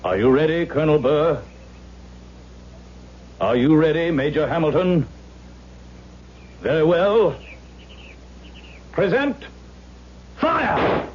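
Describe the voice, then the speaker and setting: A man's voice: a little high, lively intonation, takes it slowly, pauses often, slightly rough, full character voice. An actor playing a male film actor in a 1970s radio drama. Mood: formal, solemn. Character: weary, wisecracking male film actor, soon alarmed. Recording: muffled, hissy old radio recording.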